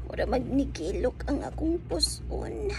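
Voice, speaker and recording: High-pitched, giggly, naive young nurse. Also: female voice, very animated. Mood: flustered, coy. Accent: Cebuano Filipino. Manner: coy, giggly, drawn-out exclamations. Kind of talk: Bisaya comedy radio drama acting.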